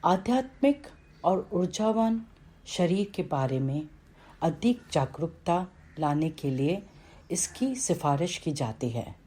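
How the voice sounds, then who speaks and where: A woman reading a scripted guided meditation in a calm, instructional way, with pauses between phrases. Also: fairly low pitch, slightly rough.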